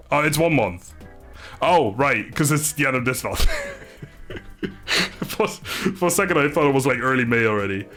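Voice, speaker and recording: A man from the Netherlands chats loosely on a livestream at a middle pitch, with music playing behind him. He sounds low on energy and laid-back, rambling from one thought to the next. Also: lively intonation, a little slow, pauses often, fairly bright tone. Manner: rambling, laid-back, swears mildly, often chuckles. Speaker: live streamer. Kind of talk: casual livestream chat with viewers.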